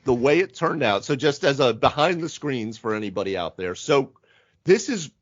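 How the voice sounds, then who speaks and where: Male podcast host, casual gaming talk, animated storyteller, medium pitch, close dry recording. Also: moderate pace, no echo, highs cut off. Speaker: podcast host and game master. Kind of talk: casual tabletop gaming chat.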